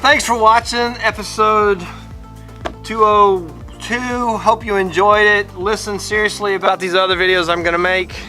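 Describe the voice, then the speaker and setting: A middle-aged man with a bright, forceful voice, talking to camera in a casual vlog. His pitch sits a little high for a man, and he stumbles and repeats words. Faint music plays behind him. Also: flat delivery. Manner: halting, repeats words when serious, upbeat when telling the story. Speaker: vlogger, teacher.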